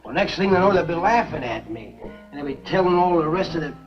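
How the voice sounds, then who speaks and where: An actor playing a possessive, pleading rural husband in a 1950s B-movie. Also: male voice, on the high side, lively intonation, fairly quick, lightly acted. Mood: whining, pleading. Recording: dull old film soundtrack with music.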